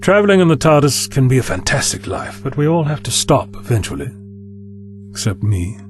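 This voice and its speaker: Male actor as brisk time-travelling adventurer, authoritative, animated intonation, audio drama, music underneath. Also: mid-range voice, lightly acted. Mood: chatty, reflective.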